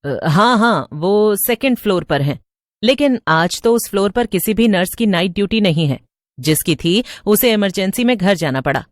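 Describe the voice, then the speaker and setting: A woman voicing a matter-of-fact hospital receptionist in an animated story, explaining things plainly. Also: mid-range voice, normal speed, no room sound, clean studio sound, lightly acted. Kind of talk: cartoon story dialogue.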